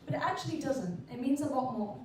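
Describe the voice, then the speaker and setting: Lively teen girl from England, echoey. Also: sits high, brisk, a little hiss or hum. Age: teens. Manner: lively rhetorical opening. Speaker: student presenter. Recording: echoey room, mic far off.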